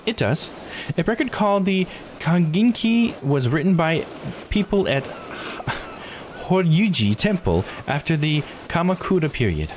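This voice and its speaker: A man voicing a bright, courteous local guide from a visual novel, reciting lore with polite enthusiasm. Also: on the high side, big pitch swings, unhurried, a little hiss or hum, pitched above the performer's own voice. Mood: informative, earnest. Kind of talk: visual novel character read aloud. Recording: game music under the voice.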